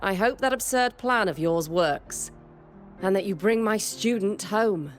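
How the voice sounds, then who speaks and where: A woman's voice: medium pitch, lively intonation, measured pace, faint music behind, close to an everyday voice. A woman playing a stern, dry, world-weary former teacher in a game, speaking in a composed, matter-of-fact way. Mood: composed, serious. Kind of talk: scripted game dialogue.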